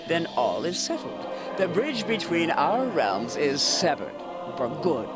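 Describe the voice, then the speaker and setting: A man voicing an ominous eldritch god in a video game, a stern elder uncle speaking formally and deliberately with condescending menace. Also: on the high side, wildly swooping pitch. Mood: quietly threatening. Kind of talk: theatrical video game villain monologue. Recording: music behind the voice.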